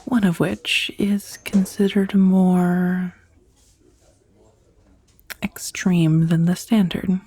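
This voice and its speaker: A woman playing a professional dominatrix on a blind date in a soft-spoken ASMR roleplay, breathy and often near a whisper, confiding and teasing. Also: fairly low pitch, fairly even intonation, extremely slow, pauses often, dry recording, exaggerated voice. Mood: sheepish, hesitant. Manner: hesitant, confiding, teasing, with sighs. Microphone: close, dry ASMR microphone.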